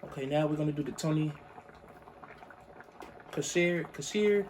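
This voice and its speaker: A young man with a fairly high voice talks his viewers through a recipe in a relaxed, chatty way, calling them "y'all". He sounds a little groggy, fresh out of bed. A pot bubbles faintly behind him. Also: normal speed, slight background noise. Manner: laid-back, chatty, addresses viewers as y'all. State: morning voice, just woke up. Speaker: cooking channel host. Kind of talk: casual recipe demonstration. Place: living room with a pot on a hot plate.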